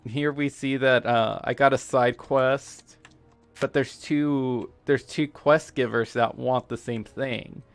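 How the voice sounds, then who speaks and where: US gamer, Spanish first language, gravelly, mid-pitched; rambling let's-play chat over quiet game music. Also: male voice, steady tone, no echo. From United States. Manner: halting, full of fillers and restarts. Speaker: gamer streaming a playthrough. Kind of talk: casual let's-play commentary.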